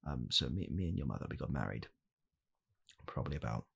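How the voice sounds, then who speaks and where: A young man playing a blunt, callous father in an ASMR comedy roleplay, speaking softly and haltingly in a deadpan way. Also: extremely low pitch, creaky, breathy edge, heavily acted. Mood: wistful, regretful. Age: young adult. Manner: soft-spoken, halting, deadpan. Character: blunt, callous father calmly blaming his child. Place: small home room, indoors.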